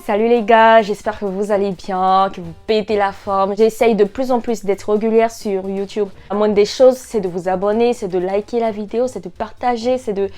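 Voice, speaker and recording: Lively Ivorian woman vlogger. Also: mid-range voice, normal speed, hardly any pauses, faint music behind. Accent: Ivorian French. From Côte d'Ivoire. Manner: lively, joking, animated. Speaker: YouTuber. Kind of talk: casual vlog to camera.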